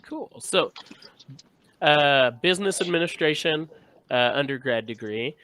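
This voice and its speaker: A man's voice: a little high, expressive, measured pace, bright, forceful tone. A man hosting a casual sports interview, speaking in an informal, encouraging way. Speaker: host.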